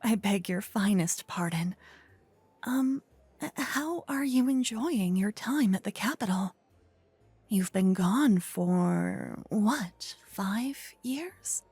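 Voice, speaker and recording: A woman playing a flirtatious, witty royal tailor in a scripted fantasy ASMR roleplay, breathy and often whispering, with a teasing, refined, gossipy manner, close to a binaural microphone. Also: medium pitch, expressive, slow pace, darkish tone, dry recording, exaggerated voice. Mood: flustered, coaxing.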